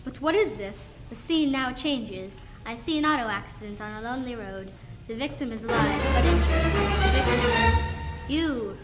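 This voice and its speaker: A woman's voice: on the high side, lively intonation, moderate pace, close to an everyday voice, rough recording. A teenage girl playing a stern, wise old woman mystic in a school radio play, speaking in a solemn, formal, portentous way. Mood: solemn, probing. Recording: music under the voice. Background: music.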